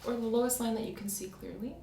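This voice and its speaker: A soft-spoken woman in an ASMR medical roleplay, gently explaining exam steps as the examiner. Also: on the high side, fairly even intonation, racing, gravelly. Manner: gentle, step-by-step clinical explaining. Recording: roomy echo, distant mic, faint noise.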